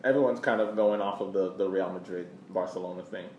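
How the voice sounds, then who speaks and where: A man's voice: deep voice, fairly even intonation, fairly quick, darkish tone, highs cut off. A man co-hosting a soccer podcast, talking casually and repeating phrases for emphasis. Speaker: podcast host. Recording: roomy echo, faint background noise.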